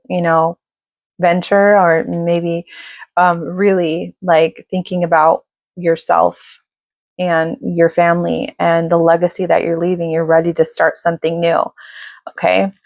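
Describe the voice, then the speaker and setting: Woman doing a chatty tarot reading, kinda raspy, flat low voice, dry close sound. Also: a little slow, no echo, muffled recording. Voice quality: slightly raspy. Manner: chatty, filler-heavy, reassuring. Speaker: tarot reader. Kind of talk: casual tarot reading to camera.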